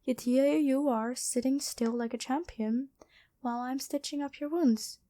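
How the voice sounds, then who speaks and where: A woman playing a kind angel medic and former nun in an ASMR roleplay, speaking gently and hesitantly to the listener. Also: sits high, a little slow. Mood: patient, earnest. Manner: gentle, soft-spoken, apologetic, hesitant.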